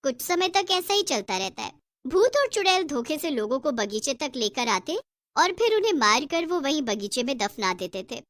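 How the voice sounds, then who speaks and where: High-voiced woman narrating a story. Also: big pitch swings, moderate pace, a bit bright, no echo, studio quality. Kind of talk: scripted horror story narration.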